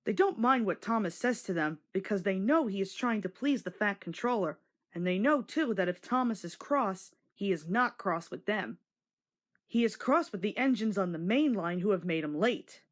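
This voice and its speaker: A woman's voice: mid-range voice, animated, normal speed. A woman narrating a scripted children's storybook as a read-along.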